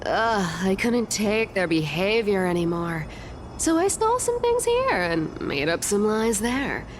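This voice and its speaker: A woman voicing a sly, flippant thief in a video game, talking in a casual, teasing, expressive way. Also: medium pitch, unhurried, a little hiss or hum. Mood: exasperated. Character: sly, flippant female trickster thief, defensive and sassy. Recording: background music under the voice.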